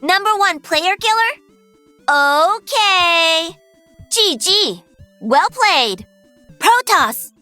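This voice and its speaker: A woman's voice: very high voice, expressive, drawn-out words, pauses often, sharp tone, soft background music, exaggerated voice. A woman voicing a perky, cocky young female pro-gamer mech pilot for a video game. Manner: bubbly, cocky, teasing.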